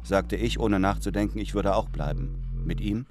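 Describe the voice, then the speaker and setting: Actor as a deep-voiced, wistful narrator. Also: male voice, natural voice. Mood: wistful, reflective. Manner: measured, reflective first-person narration. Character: earnest male apprentice illustrator, wistful narrator. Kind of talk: literary radio drama narration.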